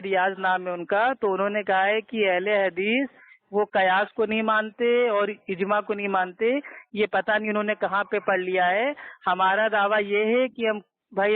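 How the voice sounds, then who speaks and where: A high-voiced man argues his side in a debate. He is insistent and keeps appealing to the moderator. The sound is a thin, tinny conference phone line. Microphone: telephone.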